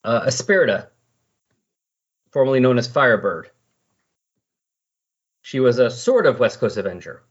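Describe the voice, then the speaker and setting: A man with a husky voice chatting casually on a podcast, explaining and recapping in a rambling way. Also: mid-range voice, sing-song, moderate pace, pauses often. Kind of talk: casual comic book discussion. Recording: dull lo-fi voice recording.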